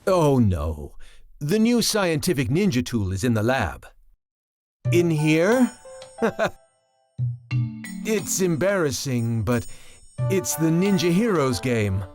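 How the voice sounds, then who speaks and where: An actor voicing an excitable, bashful male scientist in an anime game, deferential and fretful, with a flustered, stammering delivery. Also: a little high, slow, pauses often. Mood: bashful, eager. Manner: stammering, flustered, eagerly explanatory. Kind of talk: English anime game dub acting. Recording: music behind.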